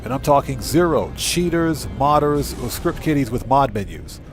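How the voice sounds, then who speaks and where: A man's voice: medium pitch, lively intonation, moderate pace. A man doing casual live game commentary, talking informally to his viewers and repeating phrases for emphasis. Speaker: live streamer and channel host. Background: music.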